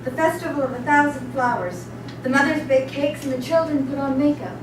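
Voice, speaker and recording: An actress playing a stoic, dutiful female servant in a dramatic stage reading, giving terse, restrained, deadpan answers. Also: high-pitched, a little fast, recorded from a distance, close to an everyday voice. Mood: tentative. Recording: echoey room from afar, noisy.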